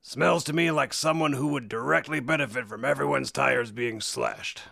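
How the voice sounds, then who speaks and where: A man playing an eager ex-cop clown who acts as sidekick sleuth in an audio comedy, with a gravelly voice. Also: medium pitch, normal speed, pushed and bright, no room sound, clean studio sound, exaggerated voice. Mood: conspiratorial.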